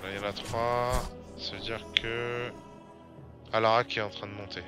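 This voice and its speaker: A man's voice: on the low side, little pitch movement, moderate pace. A man chatting casually as he streams a game, hesitant and full of fillers and restarts. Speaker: gaming streamer. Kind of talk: casual gameplay commentary. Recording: loud game music behind the voice.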